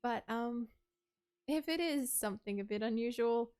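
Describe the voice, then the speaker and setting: A woman's voice: a little high, normal speed, a little mellow, smooth. Chatty woman streaming casually. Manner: chatty, rambling, frequent "like" and "um", laughs often. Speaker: livestreamer. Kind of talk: casual chat, reading out viewer messages.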